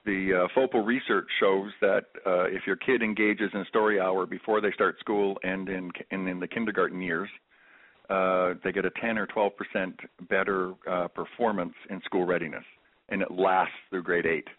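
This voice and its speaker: A man's voice: low voice, steady tone, moderate pace, no echo. A man hosting a call-in discussion, chatty, with lots of fillers and restarts. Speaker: host of a call-in webinar. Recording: phone-line audio.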